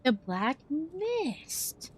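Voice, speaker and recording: A woman streamer giving casual gameplay commentary, reading bits aloud and thinking out loud in broken, trailing phrases. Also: high voice, very animated, extremely slow. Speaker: streamer playing a game.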